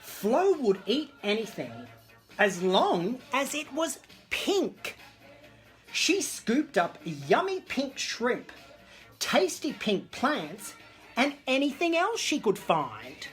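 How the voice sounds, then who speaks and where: Woman, children's story time host: camp, exclamatory, lively melodic range, unhurried pace. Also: on the high side. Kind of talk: animated storybook reading to camera. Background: disco music.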